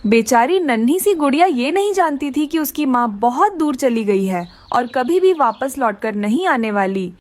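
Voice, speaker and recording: High-pitched, expressive woman narrator. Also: moderate pace, hardly any pauses. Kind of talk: scripted storybook narration.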